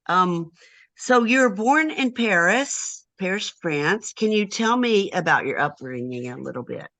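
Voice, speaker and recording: A woman hosting an interview, effusive and a little halting as she asks questions. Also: mid-range voice, animated, a bit bright. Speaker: host, senior editor.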